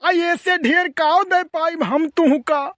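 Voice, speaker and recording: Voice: very high voice, slow, a bit bright, no room sound, clean studio sound, heavily acted. A performer voicing a teasing, grumbling cartoon village uncle with a rustic eastern Uttar Pradesh accent and exclamatory, colloquial delivery. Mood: indignant storytelling. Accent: eastern Uttar Pradesh (Awadhi). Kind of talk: rustic comedy cartoon dialogue.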